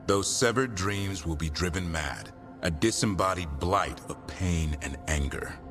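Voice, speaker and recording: A man playing a grim, seasoned warrior who explains things gravely and with measure in a video game cutscene. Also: low-pitched, measured pace. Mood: solemn. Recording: music clearly behind the voice.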